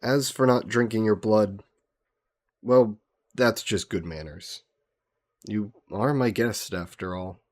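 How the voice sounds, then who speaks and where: Man playing a slow, low-voiced gentle vampire scholar. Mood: playful teasing. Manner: courteous, reflective, musing with hesitant pauses. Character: gentle, wistful, gently teasing immortal male vampire scholar. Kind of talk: intimate scripted audio roleplay.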